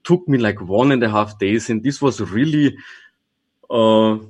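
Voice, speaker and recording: Guy on a podcast, husky low voice, chill and a bit rambly. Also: unhurried. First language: German. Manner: relaxed, thinking aloud with restarts and small laughs. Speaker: guest, trainer and consultant. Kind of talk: casual podcast interview.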